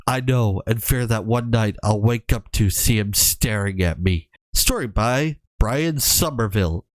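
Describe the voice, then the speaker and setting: A man reading a horror story aloud in a casual, halting way, with wry joking asides. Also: mid-range voice, smooth, no echo.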